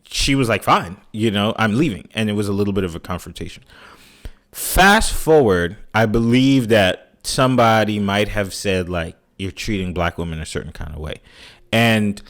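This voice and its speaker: A man with a lowish voice retells a story for his podcast co-hosts. He rambles, restarts his sentences and slips into quick quoted voices as he goes, all in easy conversational chat. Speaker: podcast co-host. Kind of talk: casual chat.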